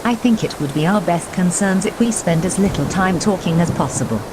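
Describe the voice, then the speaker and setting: A woman's voice: lowish voice, close to an everyday voice. A woman playing a prim, short-tempered storm reporter in an amateur audio drama. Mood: composed, curt. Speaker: weather reporter. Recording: steady rushing storm noise.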